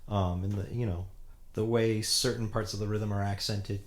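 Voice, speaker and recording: A man in his forties, a steel pan player, chatting casually as an interview guest, relaxed and full of you-knows. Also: lowish voice, moderate pace. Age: 40s.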